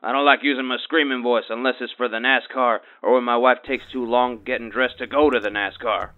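A man's voice: medium pitch, fairly even intonation, brisk, close to an everyday voice. A man playing a bigoted, blustering middle-aged radio caller who rants and threatens, heard over a dull lo-fi line. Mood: threatening. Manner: ranting, threatening, pompous.

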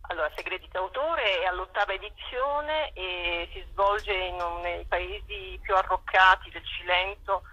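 A woman's voice: lowish voice, a little slow, hardly any pauses, bright, forceful tone, no echo, muffled recording. A woman interviewed by telephone as a festival director, explaining and listing details with hesitant repetitions.

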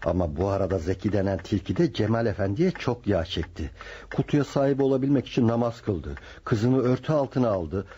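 An actor playing a bitter, grasping middle-aged husband in radio theatre, grumbling and insistent. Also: male voice, lowish voice, animated, fairly quick, warm tone, breathy edge. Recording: noisy, dull lo-fi radio recording.

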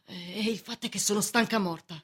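Brusque, changeable young lodger. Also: female voice, mid-range voice, a little fast, fairly bright tone, husky. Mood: weary, impatient. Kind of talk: theatrical radio drama acting.

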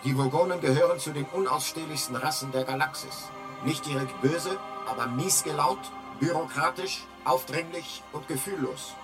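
An actor voicing a talking electronic guidebook in a radio drama, a drily authoritative male narrator, measured and deadpan. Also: fairly high pitch, natural voice. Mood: dry, instructive. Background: music.

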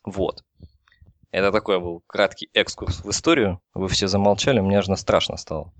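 Man, low voice, dry close sound, technical explainer, frequent false starts. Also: steady tone, moderate pace, no room sound. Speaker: telecom engineer explaining as guest expert.

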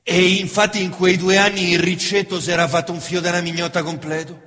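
Male actor, high, bright voice, intense and declamatory, theatrical solo reading with long hall reverb. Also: level tone, a little fast, soft background music. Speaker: stage actor. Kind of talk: theatrical solo performance of literary texts. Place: theatre stage.

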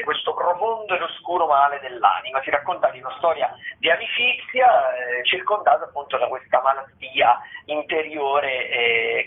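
A man giving conversational commentary as a radio critic, discursive and often pausing with filler sounds. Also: fairly high pitch. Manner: hesitant, discursive, with filled pauses. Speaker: theatre critic, radio guest presenting listings. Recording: tinny phone line on radio.

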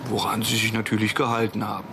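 A man's voice: lowish voice, completely flat delivery, fast talker, background noise, natural voice. An actor playing a self-assured young male programmer in a radio drama, matter-of-fact and dry. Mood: dry, cocky. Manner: matter-of-fact, cocky, explaining. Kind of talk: German radio drama acting.

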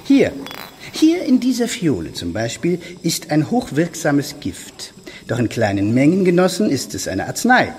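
An actor playing a courteous, sly alchemist lord in a German radio drama, explaining his marvels formally with a husky voice. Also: fairly high pitch, huge pitch swings, unhurried, darkish tone, a little hiss or hum. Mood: smooth, insinuating. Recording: long reverberant stone-hall echo.

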